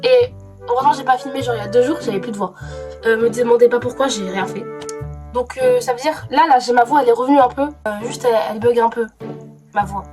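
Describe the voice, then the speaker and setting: A young woman, a little hoarse from a cold, chatting casually to camera in a rambling way, full of fillers and restarts. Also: on the high side, fast, music in the background. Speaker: vlogger. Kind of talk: casual vlog chat to camera.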